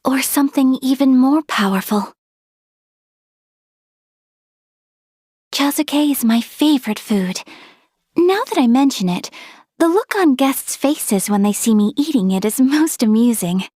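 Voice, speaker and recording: A young woman playing a refined, gracious noblewoman in a video game, speaking in formal, politely measured diction. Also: high voice, animated, warm tone, no echo, studio quality. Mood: composed, reflective. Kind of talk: video game character voice lines.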